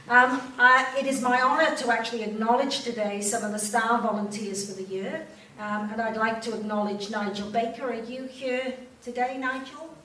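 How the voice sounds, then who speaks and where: A Welsh woman addresses a gathering from a distance, her fairly high voice carrying around an echoey room with a faint hum of ambience behind. She talks informally to the crowd, dotting her speech with ums and friendly asides. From Wales. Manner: warm, informal speaking with many ums and direct questions to the audience. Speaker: chief executive giving a speech. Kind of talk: speech to an audience at an event. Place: large room at a volunteer event.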